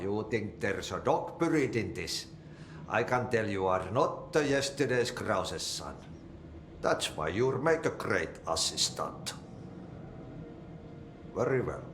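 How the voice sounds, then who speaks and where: An odd, jolly Finnish janitor with a lowish voice who drawls his mangled sayings in a lilting, unhurried way. The game line sounds echoey, with steady noise behind it. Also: heavily acted. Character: eccentric cheerful male janitor, cryptic. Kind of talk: video game cutscene acting.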